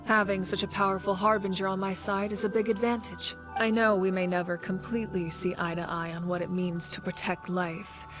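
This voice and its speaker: A woman voicing a resolute, diplomatic leader in a video game, speaking in a measured, formal way. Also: mid-range voice, little pitch movement, music in the background, close to an everyday voice. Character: composed, dignified female leader, resolute and diplomatic.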